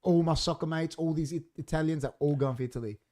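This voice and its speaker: A man's voice: on the high side, quick pace, warm tone. A middle-aged Australian man co-hosting a casual live podcast, chatty and given to repeating himself for emphasis. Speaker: podcast co-host.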